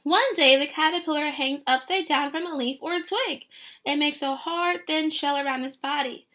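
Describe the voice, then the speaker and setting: High, bright-voiced woman reading to children. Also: normal speed. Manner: animated, questioning, talks directly to children. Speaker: teacher reading a picture book to children. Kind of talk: read-aloud lesson for young children.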